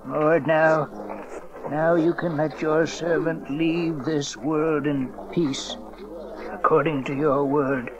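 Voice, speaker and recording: A man's voice: fairly high pitch, little pitch movement. An actor playing a devout, frail old prophet in a dramatized audio Bible, tender and overjoyed, his wavering voice dropping into whispers. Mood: tearful joy. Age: older. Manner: slow, emotional, reverent. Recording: muffled cassette with crowd behind.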